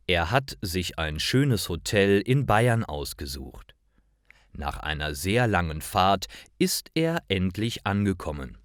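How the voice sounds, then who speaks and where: A man reading scripted short stories for language learners in a deliberate, carefully articulated and expressive way. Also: fairly low pitch, no echo, studio quality. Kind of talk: scripted narration, slow storytelling for language learners.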